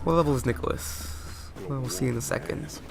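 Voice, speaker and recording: A man's voice: mid-range voice, brisk, creaky. A man giving post-recorded commentary over gameplay, chatty and rambling, often asking viewers questions. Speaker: let's play host.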